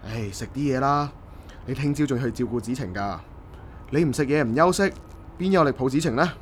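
A man acting a kind, calm stranger in a radio drama, gentle and reassuring, with a Hong Kong Cantonese accent. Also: medium pitch, brisk, a little hiss or hum, close to an everyday voice. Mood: concerned, comforting. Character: kind, calm, reassuring male bus acquaintance.

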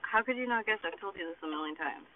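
Casual podcast host in his car, high-voiced man, animated ranter who swears, tinny phone-like recording. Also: quick pace, a little hiss or hum. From Boston, United States. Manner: animated, ranting, swears freely. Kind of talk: casual chat. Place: parked car.

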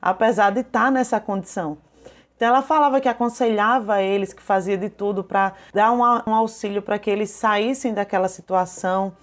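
A woman's voice: mid-range voice, steady tone, a little fast. Paraíban woman in her thirties telling stories. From João Pessoa, Paraíba, Brazil. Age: 30s. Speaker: businesswoman. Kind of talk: interview testimony.

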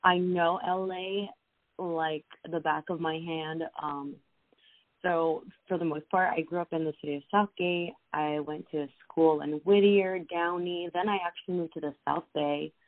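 A woman's voice: on the low side, steady tone, unhurried. A young woman from Los Angeles, a podcast guest chatting casually with lots of fillers and restarts. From Los Angeles, USA. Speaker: podcast guest, social media creator. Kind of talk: casual interview chat. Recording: tinny phone-like sound.

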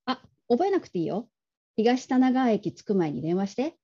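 A woman's voice: a little high, sing-song, normal speed, dark tone, husky, exaggerated voice. A woman playing a young adult daughter who casually teases and wheedles her father in a radio drama. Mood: breezy. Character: coaxing, teasing grown daughter pleading with her father. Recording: dull lo-fi audio.

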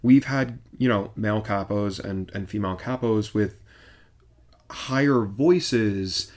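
Laid-back low-voiced man chatting. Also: slow, a little mellow. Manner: easygoing, loose, with many restarts and fillers. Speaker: supporters' group capo. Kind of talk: casual podcast chat.

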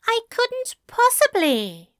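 Lady doing a fussy male angel from a story, high n slow, prissy, snippy, gets flustered easy. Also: very animated, pushed and bright, no echo, clean studio sound, full character voice. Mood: flustered, aghast. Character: prim, flustered, easily scandalised male angel.

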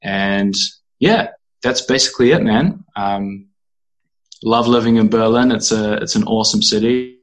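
A young man with a New Zealand accent speaks in a fairly low voice. He talks casually and informally, like an interview guest chatting with a peer. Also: measured pace, rough recording. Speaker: interview guest, animal rights activist.

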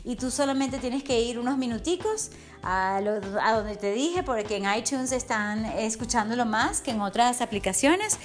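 Upbeat middle-aged woman, higher voice. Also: expressive, normal speed, faint music behind. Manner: enthusiastic, affectionate, chatty. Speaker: wellness coach podcast host. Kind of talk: motivational monologue.

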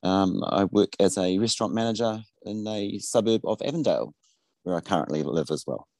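A man's voice: lowish voice, brisk, darkish tone, dry recording. A man, speaking as a podcast guest in a laid-back, plain-spoken way over a video call. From New Zealand. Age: 50s. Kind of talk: casual interview talk.

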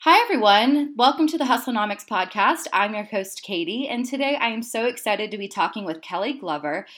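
A woman's voice: medium pitch, expressive, fairly quick, a bit bright. A woman hosting her podcast, asking questions with friendly enthusiasm. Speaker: podcast host.

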